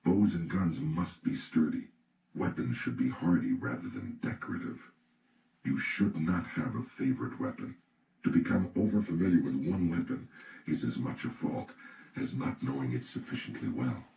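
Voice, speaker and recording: A man reading an audiobook from a script in a solemn, deliberate, instructional way. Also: rumbling low, expressive, vocal fry, mellow, muffled recording. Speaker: audiobook reader. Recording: roomy, distant mic, faint noise.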